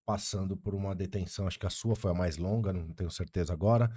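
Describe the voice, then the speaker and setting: A man from Brazil, a podcast host asking his guest measured, formal questions. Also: sits low, mellow, slightly rough, dry recording, studio quality. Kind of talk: interview questions.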